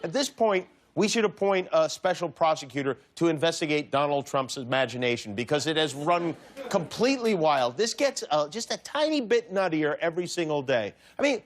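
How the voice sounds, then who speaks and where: A man with a fairly high voice delivers wry topical commentary as a host. His tone is sardonic and his pitch moves around in a lively way. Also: brisk.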